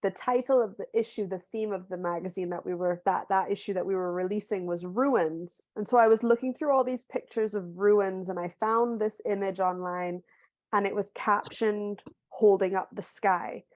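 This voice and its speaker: A woman from Barbados, an author, talking through a video call. She tells her story thoughtfully with small restarts and sounds a little hoarse from a cold. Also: mid-range voice, fairly even intonation, normal speed, darkish tone, no room sound. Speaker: author guest. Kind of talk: casual book club video call conversation.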